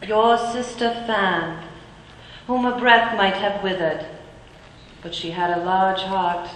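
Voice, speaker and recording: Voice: mid-range voice, steady tone, a bit bright, slight background noise. An actor voicing a grave, echoing ghost. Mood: gentle. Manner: slow, grave and measured. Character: solemn ghost guiding through the past. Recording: long ghostly reverb.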